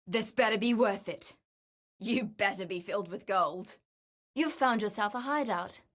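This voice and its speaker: Roguish female treasure hunter, video game character, confident, wry, greedy for gold, mid-pitched. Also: fairly bright tone. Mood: bold, determined, then brisk, pleased.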